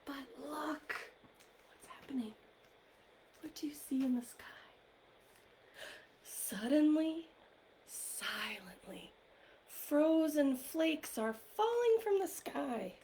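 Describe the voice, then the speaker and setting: Woman, slightly high voice, very slow pace, encouraging, gentle questions to kids, story time host. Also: very animated, a little hiss or hum. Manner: encouraging, gently questioning, addressing children directly. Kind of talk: children's live online story time, talking to young viewers.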